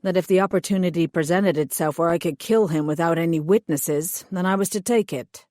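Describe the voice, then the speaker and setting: A woman's voice: lowish voice, normal speed, a little mellow, dry recording, studio quality, lightly acted. A woman reading aloud, voicing a big hired male thug who speaks bluntly and matter-of-factly.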